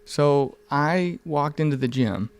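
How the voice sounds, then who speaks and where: A man's voice: fairly high pitch, animated, takes it slowly, clean tone. A man in his mid twenties chatting candidly on a podcast in an informal, rambling, earnest way, with some swearing. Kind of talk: casual, candid podcast conversation.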